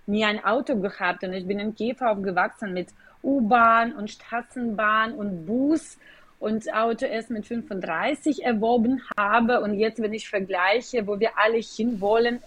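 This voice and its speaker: A woman's voice: mid-range voice, measured pace, fairly bright tone, dry recording. Woman with a Ukrainian accent. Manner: explains at length in slightly non-native German with hesitations. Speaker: guest, company executive. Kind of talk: podcast interview.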